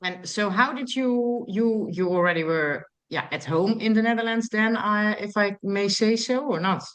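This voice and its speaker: A woman hosting a casual interview, asking her questions in a tentative, slightly halting way. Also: mid-range voice, a bit bright, clear, resonant tone, rough recording. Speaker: podcast host.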